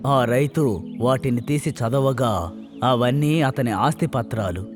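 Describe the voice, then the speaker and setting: A man's voice: medium pitch, a little slow, music in the background. A man reading scripted narration as the storyteller of a moral tale.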